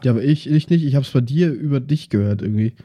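A man's voice: mid-range voice, fast, slightly rough, no room sound, highs cut off. A man co-hosting a podcast, talking informally in casual chat. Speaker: podcast co-host.

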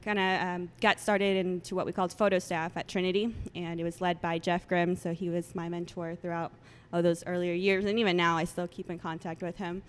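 A woman in her late twenties who grew up in El Salvador with Spanish as her first language, chatting as a guest with many soft ums. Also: on the low side, little pitch movement, fairly quick, few pauses, clean tone. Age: 20s. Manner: soft-spoken, many ums, laughs often. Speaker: guest photographer. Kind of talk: casual interview chat.

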